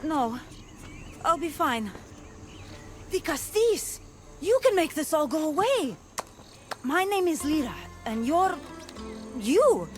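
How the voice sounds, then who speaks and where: A woman's voice: high-pitched, very animated, takes it slowly, pauses often, soft background music, heavily acted. Lyra, gushing anxious young woman. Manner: breathless, gushing, pleading.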